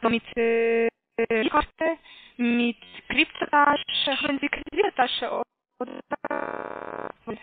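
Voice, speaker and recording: A woman with a foreign accent works through a sales call at a slow, hesitant pace. Her voice sits fairly high and is squeezed by a narrow phone line. She takes care over each thing she spells out. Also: over music. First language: not German. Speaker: call centre caller.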